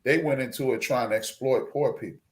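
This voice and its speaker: A man's voice: mid-range voice, fairly quick. A man reviewing a film on a podcast, talking in a reflective, lecturing way with frequent restarts. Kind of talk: casual discussion.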